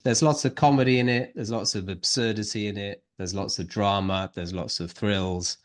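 A man's voice: fairly low pitch, steady tone, moderate pace. A man co-hosting a casual film podcast, describing films enthusiastically, with a lingering chesty cough. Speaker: podcast co-host, film reviewer. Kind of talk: casual film review chat.

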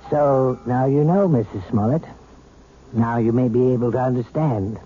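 An actor playing a calm male psychiatrist who speaks in a measured, soothing way, in a 1970s radio drama. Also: medium pitch, loud music behind the voice, close to an everyday voice. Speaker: doctor. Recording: tinny 1970s radio broadcast with hiss.

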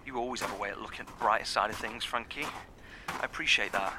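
A man's voice: lowish voice, quick pace, background noise, natural voice. A British man acting in an audio drama as a cautious, dutiful bunker head engineer with formal wording. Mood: businesslike.

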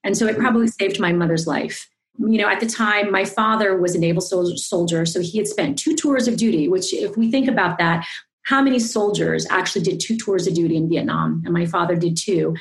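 A woman from Singapore, a podcast guest, telling her story in an effusive, animated way with frequent restarts. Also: lowish voice, fairly quick, gravelly. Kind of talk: interview, personal storytelling.

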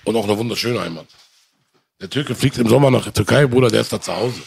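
A man's voice: fairly low pitch, quick pace, gravelly, slightly rough. A man in casual conversation, speaking passionately and often calling the listener brother. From Germany. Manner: impassioned, addresses listener as brother. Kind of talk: casual chat.

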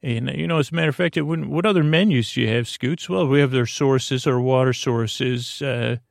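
A man hosting a sleep podcast, wandering through a silly, halting bedtime monologue full of restarts and asides. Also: mid-range voice, vocal fry, dry recording, clean studio sound. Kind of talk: rambling, meandering bedtime monologue.

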